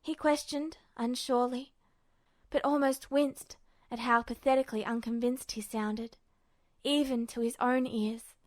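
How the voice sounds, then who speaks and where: Woman reading fanfic aloud like an audiobook, pretty high voice. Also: steady tone, a little slow, a little mellow, dry recording. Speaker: fan fiction narrator. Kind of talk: scripted story narration.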